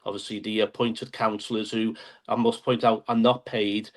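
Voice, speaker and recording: A man explaining things to a podcast in a measured way, often saying "obviously". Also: fairly low pitch, flat delivery. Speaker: guest. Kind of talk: casual podcast chat.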